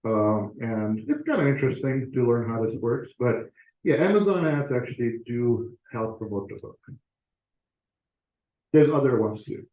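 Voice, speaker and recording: A man's voice: mid-range voice, expressive, a little slow. Man presenting in an echoey room. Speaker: author and guest speaker presenting to a club. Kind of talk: informal presentation to an audience. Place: meeting room, talk to a live audience. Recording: echoey room, slightly muffled audio.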